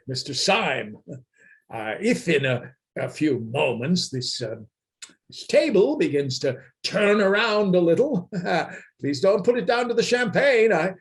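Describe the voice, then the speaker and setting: A man reading the part of a proud, secretive anarchist in a radio play, in a halting, solemn and formal manner over a video call. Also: high-pitched, big pitch swings, exaggerated voice. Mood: hesitant, amused. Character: proud, offended male anarchist, secretive and grandiose.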